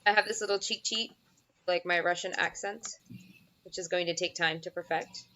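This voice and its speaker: A woman's voice: on the low side, fairly even intonation, moderate pace, sharp tone. A woman playing a tabletop game, chatting casually and laughing often with the group. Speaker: player. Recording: dull lo-fi sound.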